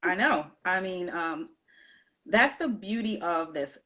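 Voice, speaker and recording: A woman's voice: lowish voice, expressive, slow, sharp tone. A woman from New York hosting a casual live-stream chat, affirming her guest and greeting viewers, with many fillers. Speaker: host.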